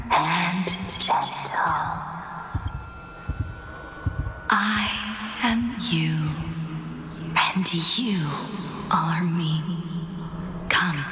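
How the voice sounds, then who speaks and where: A woman voicing an alluring, menacing primordial entity in a video game, coaxing in a whispery, hypnotic way. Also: lowish voice. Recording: echoing cavernous reverb, music over voice.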